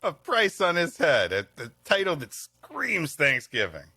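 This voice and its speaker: A man's voice: a little high, wildly swooping pitch, measured pace, sharp tone, slightly rough, no room sound, clean studio sound. A man co-hosting a podcast in casual comic banter, joking in a mock-serious way. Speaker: podcast co-host.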